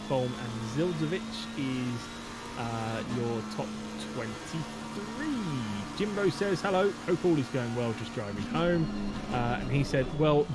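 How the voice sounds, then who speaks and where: A man doing casual live race commentary, chatting loosely with many fillers and reading viewer messages aloud. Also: fairly high pitch. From England.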